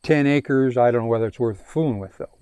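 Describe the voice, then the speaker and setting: A man's voice: mid-range voice, quick pace, a little mellow, clean tone, no echo. A man answering a crowd's questions at an outdoor field day, talking with many restarts and fillers into a handheld mic on open prairie. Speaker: range scientist answering questions. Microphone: handheld microphone.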